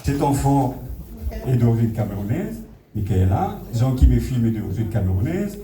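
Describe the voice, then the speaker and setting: A man's voice: medium pitch, big pitch swings, normal speed, crowd noise. A middle-aged man with a Congolese French accent gives an informal public speech as founder of a community association, telling anecdotes and addressing his listeners directly. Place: party hall, speaking to a gathering. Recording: echoey hall, distant mic, audience noise.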